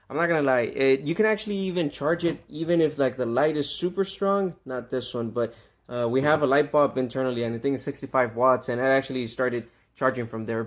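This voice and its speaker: A man talking casually through an unscripted product demonstration, conversational, repeating himself and stressing key words. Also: medium pitch. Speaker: product reviewer.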